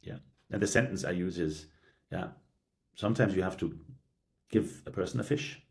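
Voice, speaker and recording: A middle-aged man speaking earnestly as a podcast guest, halting with frequent restarts and a habitual "yeah". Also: sits low, vocal fry. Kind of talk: reflective conversational interview.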